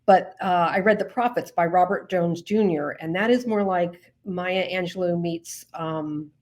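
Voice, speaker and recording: A woman's voice: fairly low pitch, measured pace, a little mellow. A woman novelist chatting casually as an interview guest, informal and self-effacing, feeling her way through her sentences.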